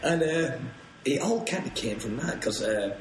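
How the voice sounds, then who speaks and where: A man's voice: mid-range voice, animated, measured pace. A young man, a touring musician, rambling through tour stories on a podcast, laughing and swearing freely. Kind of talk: casual podcast storytelling. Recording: roomy echo, faint noise.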